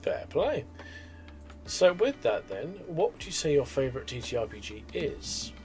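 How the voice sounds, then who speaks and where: A man's voice: on the high side, sing-song, a little slow, a little mellow. A man interviewing casually as a podcast host.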